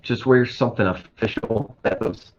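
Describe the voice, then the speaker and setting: A man playing a weary, disillusioned colony worker in a tabletop game, grumbling and swearing in a resigned, halting way. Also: mid-range voice, lightly acted. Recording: dull crackly voice-chat line, background noise.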